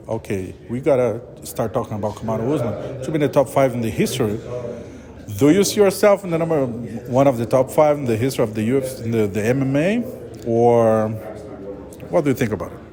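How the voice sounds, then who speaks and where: A man from Brazil with a Brazilian Portuguese accent, interviewing a fighter in English, halting as he searches for words. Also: medium pitch, expressive, a crowd in the background. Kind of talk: press interview.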